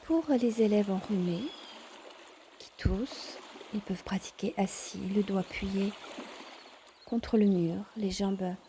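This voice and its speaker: A woman teaching yoga in a calm guided instruction style, measured, pausing between short phrases. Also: mid-range voice, slight background noise.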